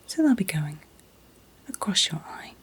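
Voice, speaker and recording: Female ASMR whisperer, mostly unvoiced and very breathy, gentle and reassuring, very slow delivery. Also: on the low side, very animated. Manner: gentle, soothing, reassuring, unhurried. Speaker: ASMR performer playing an optician. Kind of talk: whispered ASMR eye exam roleplay.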